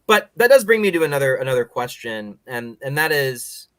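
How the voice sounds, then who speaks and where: A man's voice: medium pitch, sing-song, a little fast, fairly bright tone, no echo. A man hosting a podcast interview in a casual, rambling, opinionated way, peppering his talk with "like". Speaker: podcast host interviewing guests.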